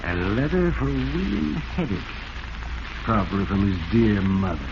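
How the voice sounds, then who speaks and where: A vengeful convict in an old radio play, unstable and intense, muffled noisy recording. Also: male voice, lowish voice, very animated, moderate pace, creaky, reverberant. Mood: gloating, sly. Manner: theatrical, intense. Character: bitter, vengeful, unhinged male convict. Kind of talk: 1940s radio melodrama acting.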